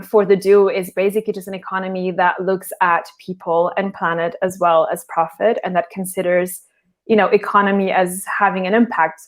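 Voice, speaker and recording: A woman from Portugal, a guest in a remote interview, explaining her work in a thoughtful, casual way and often restarting her phrases. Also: fairly low pitch.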